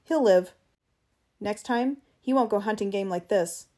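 A woman's voice: mid-range voice, a little slow, warm tone, natural voice. A woman voicing a furious, hard-bitten male time-safari guide who barks commands and accusations. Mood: grim, threatening.

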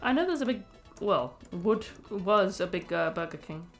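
A woman's voice: mid-range voice, animated, moderate pace, soft background music. A young woman from North Wales with a British accent, chatting casually on a stream, teasing and swearing with laughs. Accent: British English.

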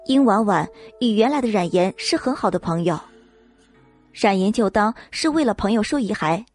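A woman's voice: mid-range voice, darkish tone. A woman narrating a scripted novel as an audiobook reader.

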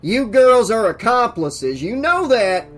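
A man's voice: extremely high pitch, very animated, slow, faint music behind, voice pushed up, heavily acted. A man voicing a domineering, taunting small-town Texan sheriff in a dramatic audiobook reading. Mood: loud, threatening. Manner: taunting, loud, bullying.

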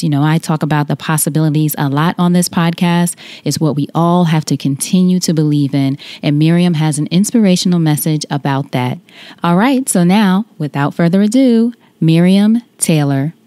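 Low, mellow-voiced woman podcast host. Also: no room sound. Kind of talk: podcast interview.

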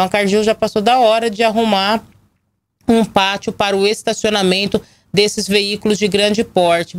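A Brazilian woman in her thirties presenting live local news, her voice hoarse from a cold. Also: mid-range voice, no room sound. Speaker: TV and radio news anchor. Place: small indoor news studio. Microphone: gooseneck desk microphone.

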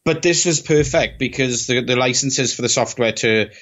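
A man's voice: medium pitch, moderate pace, a bit bright, lo-fi sound. A middle-aged man with a thick South Welsh accent, chatting casually as a podcast guest over a video call, self-deprecating and full of restarts. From South Wales. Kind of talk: casual interview chat. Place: small home office. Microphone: headset with studio mic on video call.

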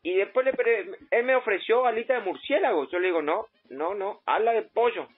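Guy doing a pushy simple argentine customer, husky n kinda high, keeps repeating himself, muffled phone line. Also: lively intonation. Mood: aggrieved. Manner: repetitive, halting, complaining. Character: insistent, aggrieved, simple provincial male customer. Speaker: prank caller. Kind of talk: improvised phone prank. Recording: muffled phone line, faint music behind.

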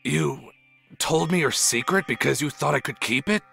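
A man voicing a tormented teenage tough guy in a fan manga dub, with a rough, intense, brooding delivery. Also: fairly high pitch, moderate pace, bright, forceful tone, faint music behind, full character voice. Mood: startled, confused. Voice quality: rough, gravelly.

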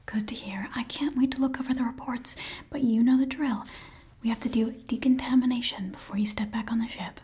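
A woman playing a calm, formal spaceship decontamination officer in a whispered, unhurried ASMR roleplay. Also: fairly high pitch, close to an everyday voice. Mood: calm, clinical.

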